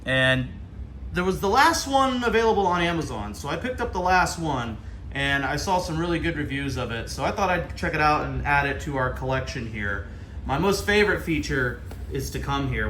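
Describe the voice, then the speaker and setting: A man's voice: medium pitch, animated, moderate pace, fairly bright tone, slight background noise. An American man giving casual hands-on unboxing commentary in an informal, enthusiastic way. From Ohio, United States. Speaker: vlogger. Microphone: handheld camera.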